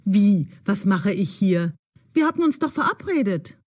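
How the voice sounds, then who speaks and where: An actress playing a bold, eager young woman in an audio drama for language learners, brisk, excitable and clearly enunciated. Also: medium pitch, no echo, clean studio sound. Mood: teasing.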